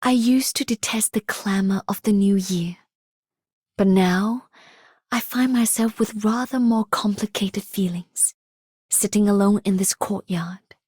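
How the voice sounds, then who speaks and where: Wistful poet game character, breathy husky voice, whimsical, dry close sound. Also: female voice, medium pitch, unhurried, darkish tone, dry recording. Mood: contemplative, wistful. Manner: literary, contemplative. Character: melancholy, whimsical poetic woman. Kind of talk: video game character voice acting.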